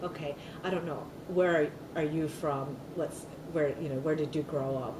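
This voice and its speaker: A woman's voice: sits low, fairly even intonation, normal speed. A middle-aged woman giving an oral history interview, talking loosely with many fillers and restarts. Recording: echoey room, mic at a distance, noisy.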